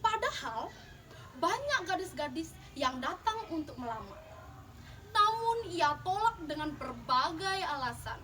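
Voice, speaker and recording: A woman's voice: very high voice, big pitch swings, a little slow, sharp tone. A young woman telling a folktale in a theatrical, emphatic storytelling style, as if in a contest. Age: young adult. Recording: distant mic, clearly noisy background.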